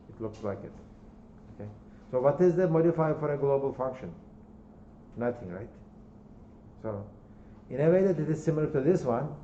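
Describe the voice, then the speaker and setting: Turkish accent guy teaching a class, mellow voice, keeps saying okay? and right?, roomy dull recording. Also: medium pitch, slight background noise. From Turkey. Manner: repeats words, checks in with "okay?" and "right?". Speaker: university lecturer. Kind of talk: classroom lecture. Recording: dull, echoey lecture hall recording.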